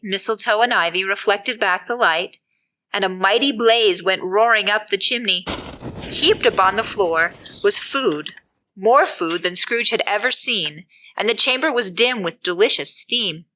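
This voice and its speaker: A woman's voice: mid-range voice, sharp tone. A woman reading scripted storybook narration for an audio drama.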